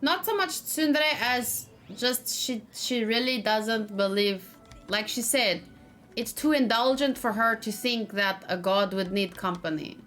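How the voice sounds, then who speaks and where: A woman's voice: fairly high pitch, a little slow, fairly bright tone, faint music behind. A woman streaming a let's play, giving casual, chatty commentary and musing aloud as she goes. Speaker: gaming streamer.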